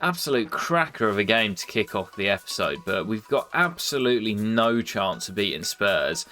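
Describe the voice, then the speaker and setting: A young man with a nasal voice from a cold, commentating his football game in a casual play-by-play that jumps up excitedly at big moments. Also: moderate pace. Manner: excitable, bursts up in pitch at goals and misses. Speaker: gaming YouTuber commentating his career mode.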